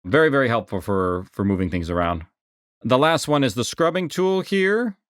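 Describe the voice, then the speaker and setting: A man narrating a software tutorial in an informal, explanatory way, repeating key words for emphasis. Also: mid-range voice, expressive, normal speed, clean tone, dry recording, clean studio sound. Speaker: software instructor. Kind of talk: casual screen-share tutorial narration.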